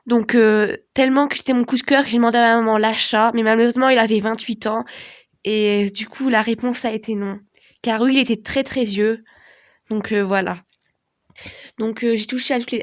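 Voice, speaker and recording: A young woman with a hoarse voice from a cold, telling a rambling personal story to her viewers with many fillers. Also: on the high side. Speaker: vlogger. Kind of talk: casual storytelling to camera.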